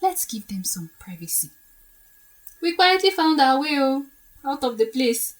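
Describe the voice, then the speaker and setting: An actress plays a bride-to-be gossiping with friends in an audio drama. She has a fairly high voice and takes her time, chattily dotting the story with interjections. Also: sing-song, unhurried. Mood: amused gossip.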